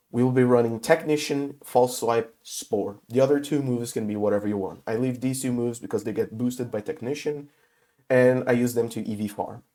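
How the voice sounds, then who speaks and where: A man who is sick with a cold, talking through a casual game tutorial in English, his second language, with many pauses and filler sounds. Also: mid-range voice, mellow. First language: not English. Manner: halting, many filler uhs. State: has a cold. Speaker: gaming video host.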